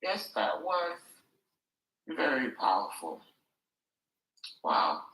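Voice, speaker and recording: A woman's voice: rumbling low, very animated, drawn-out words, fairly bright tone, a little hiss or hum. A woman who works as a nurse, chatting casually on a group call and repeating her words as she thinks. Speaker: participant. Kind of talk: casual chat. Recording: echoey room.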